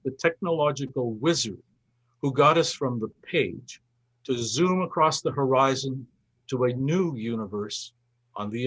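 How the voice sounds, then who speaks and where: A man with a husky, mid-pitched voice speaks as the host of a cultural festival. He reads prepared closing remarks in a formal, measured manner, phrasing them with a literary flourish. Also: a bit bright, poor audio. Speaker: host giving closing thanks at a festival event.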